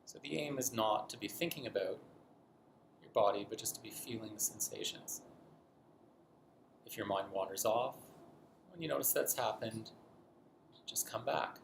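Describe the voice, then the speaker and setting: A man leading a guided body scan meditation in a gentle, unhurried manner with long pauses. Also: lowish voice, gravelly. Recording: roomy echo, faint background noise.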